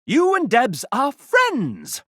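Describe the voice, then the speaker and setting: A man's voice: extremely high pitch, huge pitch swings, slow, sharp tone, breathy edge, dry recording, studio quality, heavily acted. An actor playing a sassy, theatrical male guide, narrating a video game in an arch, chatty way. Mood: gleeful.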